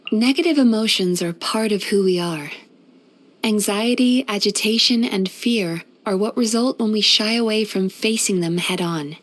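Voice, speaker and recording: A woman playing a gentle, caring female psychologist in a video game, speaking in a soothing, thoughtful, measured and softly playful manner. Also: medium pitch, close to an everyday voice. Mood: grateful, earnest. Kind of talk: scripted video game character voice lines.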